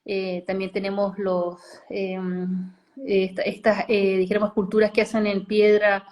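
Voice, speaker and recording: A woman's voice: medium pitch, monotone, unhurried, darkish tone. A woman from Chile and Costa Rica talking casually as an interview guest, in loose, rambling phrases. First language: Spanish.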